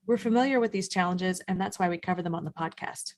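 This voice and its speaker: A woman's voice: fairly low pitch, fast, a little mellow. A woman co-hosting a podcast who talks in a friendly, engaged way and tells her own story. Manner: friendly, engaged, gives encouraging backchannel. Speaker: podcast co-host. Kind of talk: live podcast chat, storytelling.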